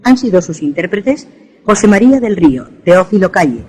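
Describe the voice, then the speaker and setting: Husky woman radio announcer. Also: medium pitch, very animated, normal speed, darkish tone. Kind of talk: formal scripted broadcast credits.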